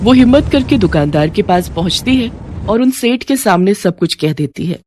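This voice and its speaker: A woman's voice: mid-range voice, expressive, moderate pace, darkish tone, a little hiss or hum. A woman reading a scripted moral story as its narrator. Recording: music bed behind the voice.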